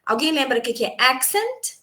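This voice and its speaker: A woman's voice: high voice, big pitch swings, moderate pace, pushed and bright. A woman English teacher from Brazil giving an online class on a video call, explaining terms and quizzing her students. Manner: explanatory, asks students questions and answers them.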